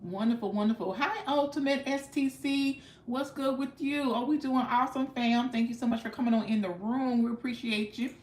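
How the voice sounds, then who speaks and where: A woman's voice: a little high, steady tone, moderate pace, few pauses, darkish tone. An American woman hosting a casual livestream, chatting with viewers in an effusive, folksy way with repeated thanks and affirmations. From United States. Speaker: livestream host. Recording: echoey, roomy sound.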